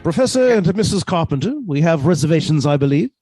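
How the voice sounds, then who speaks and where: A man's voice: fairly high pitch, animated, fast talker, a little mellow, soft background music, close to an everyday voice. A man playing a mannerly, henpecked professor who is secretly a scheming killer, with formal old-fashioned phrasing, in a radio suspense play. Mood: brooding narration. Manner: formal, old-fashioned turns of phrase, hesitant when flustered.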